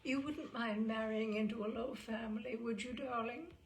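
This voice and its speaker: A woman's voice: a little high, fairly even intonation, normal speed, slight background noise, close to an everyday voice. A woman voicing a forthright, affectionate young woman in a storybook reading, plain-spoken and decisive. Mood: insistent, tender.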